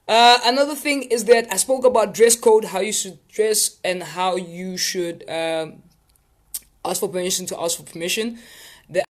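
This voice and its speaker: A man with a South African accent hosting a casual live session for viewers, talking in a rambling, playful way. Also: high-pitched. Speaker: host of a live tips session.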